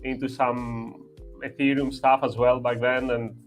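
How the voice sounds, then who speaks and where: A man's voice: medium pitch, flat delivery, faint music behind. Hesitant young man from Albania. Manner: hesitant, many "uh" pauses, slightly broken English. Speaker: guest. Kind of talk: casual chat.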